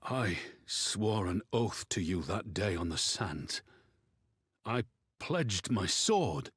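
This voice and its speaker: A man playing a loyal, gruff veteran warrior in a fantasy game, husky and grave, with formal, heartfelt delivery. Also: lowish voice, big pitch swings, slow pace, a bit bright, no room sound, clean studio sound, heavily acted. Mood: solemn. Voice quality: husky, raspy. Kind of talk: dramatic fantasy game voice acting.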